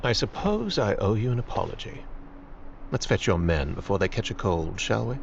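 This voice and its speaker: An actor playing a dry, sardonic royal guard commander in a fantasy game, measured and unhurried. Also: male voice, fairly low pitch, big pitch swings, vocal fry, slight background noise. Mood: wry. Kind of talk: dramatic fantasy game acting. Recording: muffled lo-fi, loud music behind.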